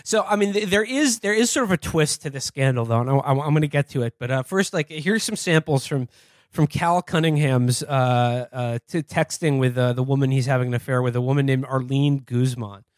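An American man hosting a podcast, giving casual comedic commentary and reading aloud in a halting, wry way. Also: mid-range voice, dry recording, studio quality. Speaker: podcast host.

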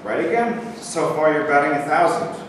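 A man's voice: on the high side, lively intonation, moderate pace, fairly bright tone. A young man acting a brash, irritable American GI with blunt, slangy talk in a staged script reading. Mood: guarded, chatty. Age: young adult. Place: bare rehearsal room, camera at a distance. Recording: echoey hall from far off, faint noise.

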